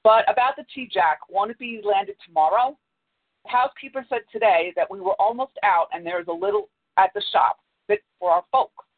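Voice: medium pitch, normal speed, dry recording, natural voice. Fretful tea-loving girl, read out by a storyteller; tinny phone sound, barely acted. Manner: read aloud with a plain voice.

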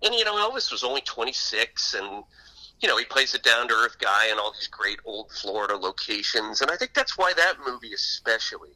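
A man's voice: lowish voice, sharp tone, rough recording. A man being interviewed as an author, telling anecdotes in a conversational radio style.

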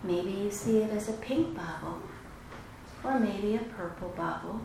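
Woman leading guided meditation in echoey room. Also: mid-range voice. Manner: slow, soothing, instructive delivery with long pauses. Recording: very echoey room, clearly noisy background.

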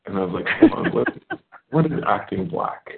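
A man from Germany, a filmmaker guest, talking reflectively in a casual interview with many pauses and fillers. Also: lowish voice, lively intonation, vocal fry, crowd noise. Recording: phone-like echoey remote call.

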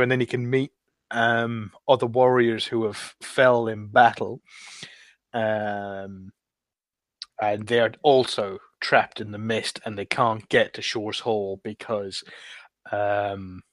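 Halting man recounting a game quest. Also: medium pitch, no echo. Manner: halting, groping for words. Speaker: podcast roundtable panelist.

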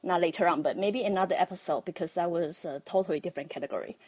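A woman from Taiwan with a Taiwanese accent, talking casually on a livestream, halting with many ums and nervous laughs. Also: on the low side, a little mellow, breathy edge. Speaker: livestream host, life coach.

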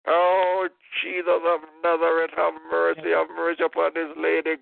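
A man's voice: on the high side, monotone, normal speed, vocal fry, dry recording. A man leading a fervent intercessory prayer, impassioned, repeating "oh God" and "in the name of Jesus". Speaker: prayer leader. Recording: tinny phone-line prayer call.

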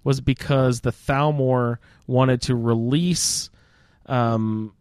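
A man's voice: mid-range voice, steady tone, slow, a little mellow, clear, resonant tone, dry recording, studio quality. A man hosting a casual, informal roundtable discussion about a video game. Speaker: podcast host.

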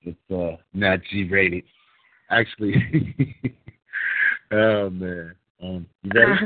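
A man calling in to a poetry show, hesitant with lots of pauses before his piece. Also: low voice. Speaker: caller poet. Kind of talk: casual chat before a poem. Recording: tinny phone line from off the mic.

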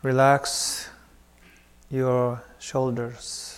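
A man leading a guided meditation, speaking in short phrases with long pauses between them. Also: mid-range voice, little pitch movement, smooth. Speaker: meditation teacher.

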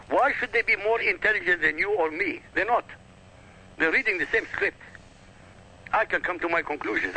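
A man's voice: fairly high pitch, gravelly, sharp tone, slight background noise, low-quality recording. A man whose first language is Arabic, arguing insistently on a radio talk show and lecturing with emphatic repetition. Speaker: guest.

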